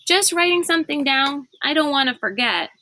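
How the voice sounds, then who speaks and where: A woman's voice: sits high, lively intonation, normal speed, bright, forceful tone, slight background noise, voice pushed up. A woman voicing an anxious, earnest boy in a children's storybook read aloud. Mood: evasive.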